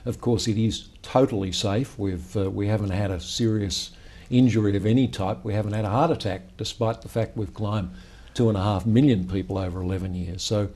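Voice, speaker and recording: A man in his sixties answers questions with a measured, storytelling manner, often starting with "well, look". His voice is low and mellow. He is recorded on a lapel mic in a high-rise office meeting room. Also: fairly even intonation. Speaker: company founder and chief executive. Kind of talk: business interview.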